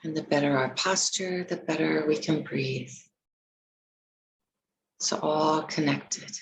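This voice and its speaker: A woman leading a guided meditation, giving gentle, unhurried instructions with long pauses between phrases. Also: sits low, slight background noise, low-quality recording.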